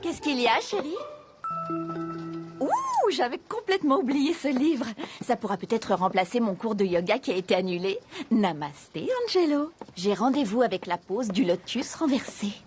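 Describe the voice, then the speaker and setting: Lively, flustered cartoon mother. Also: fairly high pitch, moderate pace. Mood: pleased. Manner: expressive, scolding then sweet. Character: exasperated, easily flustered suburban mother. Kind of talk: animated cartoon dubbing. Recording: faint music behind.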